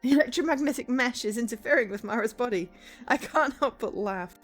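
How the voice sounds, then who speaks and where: A woman's voice: a little high, fairly quick, faint music behind. A woman streamer reading narration from a visual novel game aloud.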